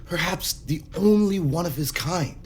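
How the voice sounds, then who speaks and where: Halting, anxious alien man played by an actor. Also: on the high side, slight background noise, natural voice. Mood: earnest. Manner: hesitant, halting, earnest. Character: anxious, secretive alien dignitary pleading for his endangered people. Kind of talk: science fiction audio drama acting.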